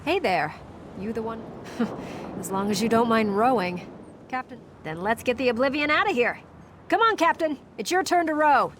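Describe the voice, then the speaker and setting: A woman's voice: on the high side, lively intonation, moderate pace, slight background noise, lightly acted. A woman voicing a brash, sarcastic sailor in a video game, with a wry, informal manner. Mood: brisk, teasing. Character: brash, sarcastic female sailor on a ship's crew. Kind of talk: video game character dialogue.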